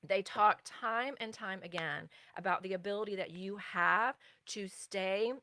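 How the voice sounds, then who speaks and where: A woman's voice: mid-range voice, a little slow, pushed and bright, dry recording. A middle-aged woman teaching as a spiritual relationship coach in a live stream, earnest and meandering, breaking off to answer viewer comments.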